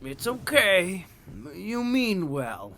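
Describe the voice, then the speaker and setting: A woman playing a slow, earnest, hapless male turtle patient with a plaintive manner in a comedic audio drama. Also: mid-range voice, sing-song, a bit bright, full character voice. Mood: earnest, unhurried.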